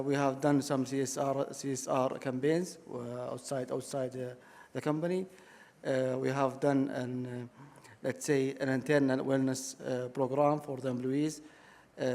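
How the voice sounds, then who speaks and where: Flat, mellow-voiced man on a panel. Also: medium pitch. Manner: measured, hedging with "let's say". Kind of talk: panel discussion answer. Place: conference hall stage. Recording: reverberant conference hall.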